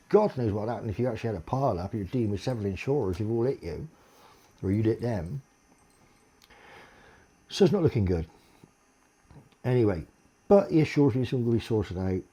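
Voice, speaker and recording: A deep-voiced man, in a blunt, sweary, aggrieved monologue complaining to camera. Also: mellow, husky.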